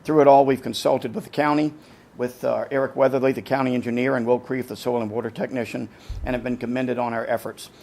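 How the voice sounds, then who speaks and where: Gravelly-voiced man giving public comment. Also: mid-range voice, little pitch movement, darkish tone. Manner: earnest, halting with repeated words. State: losing his voice. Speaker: resident speaking at public hearing.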